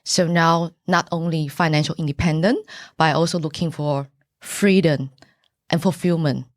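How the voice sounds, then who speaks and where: A middle-aged woman from Taiwan speaks English with a Taiwanese accent, Mandarin being her first language. Her voice sits low and the room is dry. She talks earnestly, with pauses and searching for words. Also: fairly even intonation, no echo. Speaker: buyer's agent, podcast guest. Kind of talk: casual interview answers.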